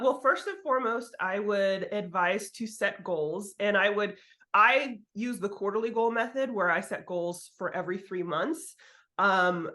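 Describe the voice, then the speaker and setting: A woman's voice: fairly low pitch, fairly bright tone. A woman chatting informally as an interview guest, giving writing advice in a candid way with the occasional swear word. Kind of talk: casual interview chat.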